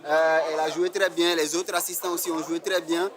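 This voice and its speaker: Man, slightly high voice, very even delivery, confident contestant interview, audible crowd. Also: a little fast. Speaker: magician contestant. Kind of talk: reflective interview to camera. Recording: audience noise behind voice.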